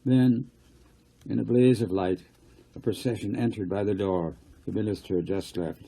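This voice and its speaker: Gravelly, mellow male storyteller. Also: fairly low pitch, fairly even intonation, normal speed, low-quality recording. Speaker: author reading his own novel. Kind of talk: storybook narration.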